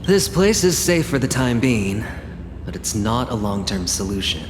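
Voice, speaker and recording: An actor playing a wry, laid-back young man deep in debt in a video game. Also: sing-song, moderate pace, lightly acted. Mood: calm. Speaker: game character. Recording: echoey room with long reverb, noise.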